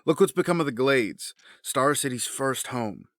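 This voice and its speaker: A man acts out a brooding, embittered mourner in an audio drama. His voice is sharp and swings in pitch, with grave, rhetorical intensity. The recording is close and dry. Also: animated, normal speed, no echo. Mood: grim, intense. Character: grieving, bitter, brooding wealthy man with hardening resolve.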